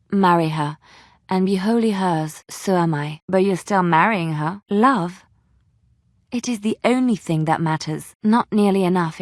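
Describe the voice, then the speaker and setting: An actress playing a gentle, romantic young woman bard in a fantasy video game, soft-spoken, wistful and a little coy. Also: mid-range voice, no echo, studio quality, close to an everyday voice. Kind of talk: video game character dialogue.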